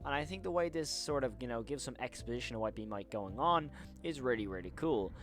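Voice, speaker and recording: A man's voice: mid-range voice, expressive, a little fast, a bit bright, soft background music. A man hosting an online horror commentary, recapping episodes in a wordy, rambling way with emphatic repeated words. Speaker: YouTube horror commentator and host.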